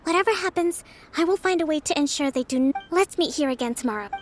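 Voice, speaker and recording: A woman voicing a gentle, composed young priestess and strategist in a video game, speaking in a measured, formal way. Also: sits high, expressive. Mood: resolute, weary. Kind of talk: English video game voice acting. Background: faint background music.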